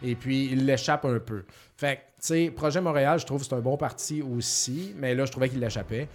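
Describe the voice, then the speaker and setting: A man's voice: medium pitch, fairly quick, darkish tone, soft background music. A man in his forties with a Québécois French accent, hosting a casual podcast in a talkative, informal, slangy way. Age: 40s. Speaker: podcast host. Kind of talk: casual chat, reading listener questions.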